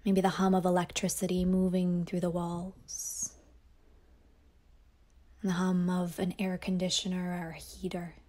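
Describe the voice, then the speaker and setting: A woman teacher guiding a yoga nidra meditation in a slow, soft voice that often drops toward a whisper. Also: lowish voice, completely flat delivery. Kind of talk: guided meditation.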